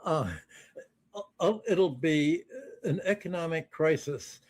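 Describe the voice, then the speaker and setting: A man giving spontaneous commentary as an interview guest, in a halting, hesitant way. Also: on the high side.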